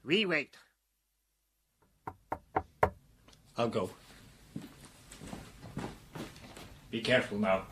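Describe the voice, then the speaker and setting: A man's voice: mid-range voice, sing-song, quick pace, fairly bright tone, a little hiss or hum. An actor playing a solemn, fatherly professor who hunts vampires in a gothic audio drama, speaking in stilted phrases. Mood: grave.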